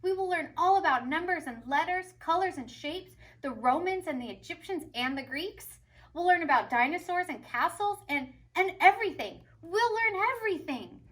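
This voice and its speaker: A woman voicing a proud, excitable male school principal in a picture book, making emphatic, drawn-out proclamations. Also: very high-pitched, pitched above the performer's own voice. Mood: excited. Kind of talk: picture book character read aloud.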